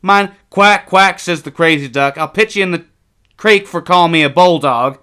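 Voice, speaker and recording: A man voicing excitable, bickering young twin boys in storybook dialogue, taunting and exclaiming. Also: sits high, moderate pace, clear, resonant tone, pitched above the performer's own voice. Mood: teasing.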